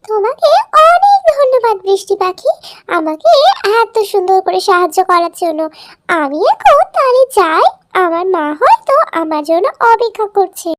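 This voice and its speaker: Very high-pitched innocent baby bird girl. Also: sing-song, moderate pace, no room sound, clean studio sound. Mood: grateful. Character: innocent, earnest young female baby bird. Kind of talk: children's cartoon voice acting.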